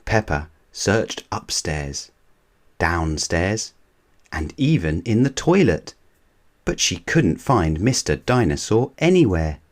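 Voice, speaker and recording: A man reading a children's picture book aloud in a gentle, measured bedtime manner. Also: deep voice, big pitch swings. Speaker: reader of children's books.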